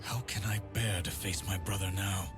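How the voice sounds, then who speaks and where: A very deep, haunted swordsman from a fantasy video game. He speaks in solemn, measured lines that carry weariness and menace, with clear music playing behind him. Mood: weary, sorrowful. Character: brooding masked undead swordsman hunting demons. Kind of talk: scripted game character voicelines.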